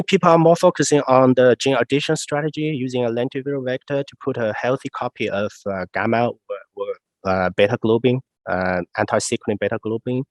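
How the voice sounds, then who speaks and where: A man researcher answering questions after his talk, his voice nasal from a cold, halting with many fillers. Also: medium pitch, no echo. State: congested, nasal voice. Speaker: research scientist presenting.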